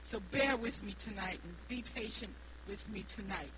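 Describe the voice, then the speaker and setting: A woman's voice: mid-range voice, moderate pace. Older New England woman preaching, noisy recording. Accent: New England American. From Rhode Island, United States. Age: 60s. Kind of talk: evangelical sermon with personal testimony. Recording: noisy live recording with hum.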